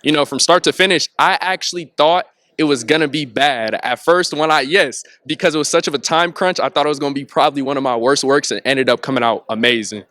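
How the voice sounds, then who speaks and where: A young man, a student filmmaker, answering red carpet questions in an excitable, casual way. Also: a little high, fast, hardly any pauses. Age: young adult.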